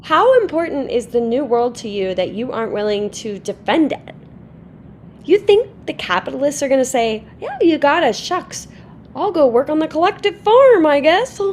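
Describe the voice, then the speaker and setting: A woman's voice: very animated, normal speed, a little mellow. A woman playing a militant, combative socialist with sardonic, argumentative delivery in a staged play reading. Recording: faint noise and rumble.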